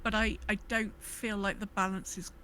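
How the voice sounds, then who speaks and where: A woman's voice: medium pitch, little pitch movement, moderate pace, bright, forceful tone. A woman co-hosting a podcast in casual gaming chat, thinking aloud in a hesitant, rambling way. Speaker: podcast co-host.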